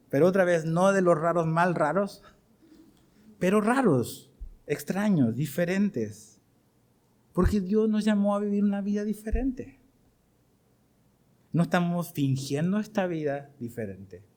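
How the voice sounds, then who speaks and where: Animated Chilean man preaching a sermon. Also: on the high side. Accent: Chilean Spanish. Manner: animated preaching, asks the congregation questions. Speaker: pastor.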